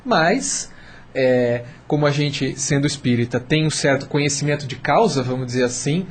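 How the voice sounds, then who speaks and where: A man's voice: medium pitch, moderate pace. A Brazilian man commenting as a radio panel guest, explaining carefully while searching for words. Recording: faint background noise.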